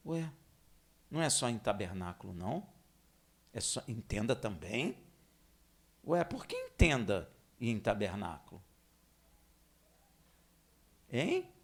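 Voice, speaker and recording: Male preacher, Brazilian accent, slow delivery, creaky voice, conversational sermon, rhetorical questions, dramatic emphasis. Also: mid-range voice, fairly bright tone. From Minas Gerais, Brazil. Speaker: congregation teacher and preacher. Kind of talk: expository sermon with scripture reading.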